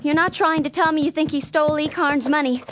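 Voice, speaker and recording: A woman's voice: high voice, steady tone, fairly quick, a bit bright, a little hiss or hum. An actress playing an earnest, worried young ranch woman, a loyal and insistent daughter, in a Western audio drama. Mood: anxious, insistent.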